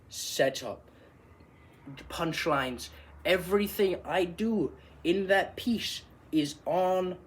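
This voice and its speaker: A man performing a monologue as an animated, exasperated Irish comedian, telling a story with emphatic, theatrical delivery. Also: on the high side, pitched above the performer's own voice.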